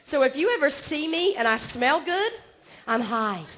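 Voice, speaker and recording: A woman's voice: high-pitched, lively intonation, moderate pace, a bit bright. A woman in her forties from Georgia with a Southern accent, doing a self-mocking stand-up set on a comedy club stage and chatting with the crowd. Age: 40s.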